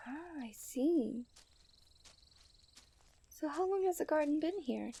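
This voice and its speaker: Woman acting a mellow, genteel fairytale princess. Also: high voice, animated, slow, heavily acted. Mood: tender, soft. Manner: formal, genteel fairytale phrasing with breathy sighs. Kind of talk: scripted romantic ASMR roleplay.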